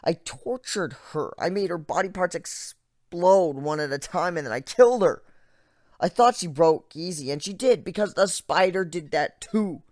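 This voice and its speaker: A reader voicing a traumatized, frightened and angry griffin in a dramatic story reading, halting and overwrought. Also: medium pitch, expressive, no room sound, studio quality, close to an everyday voice. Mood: anguished, vehement. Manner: overwrought, halting, swinging from hushed to shouting. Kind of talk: dramatic reading of fan fiction.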